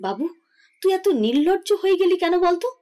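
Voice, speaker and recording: A woman's voice: very high-pitched, sing-song, moderate pace, mellow. Woman acting a chiding, indulgent middle-aged mother. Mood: scolding. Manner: chiding, teasing, firm. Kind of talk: Bengali audio drama acting.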